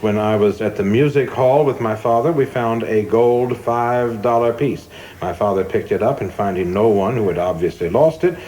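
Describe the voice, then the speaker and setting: An actor playing a coldly zealous young government official in a radio play, speaking formally and insistently with polite deference that cuts. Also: male voice, medium pitch, a little mellow, close to an everyday voice. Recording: clearly noisy background ambience.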